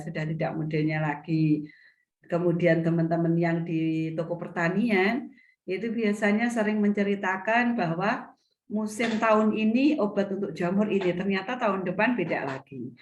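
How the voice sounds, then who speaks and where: A middle-aged woman, teaching an online seminar as a retail business mentor over a video call, her voice a little hoarse from a cough, often restating her points. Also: fairly low pitch, normal speed, dull audio. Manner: explanatory, repeats and restates points. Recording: echoey video call audio.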